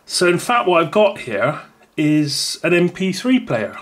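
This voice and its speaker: A man's voice: fairly high pitch, very animated, unhurried, fairly bright tone. A man casually demonstrating a small gadget at a table, rambling and correcting himself as he goes. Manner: rambling, self-correcting, chatty. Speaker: product reviewer. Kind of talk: casual unboxing demo. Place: indoors at a wooden table.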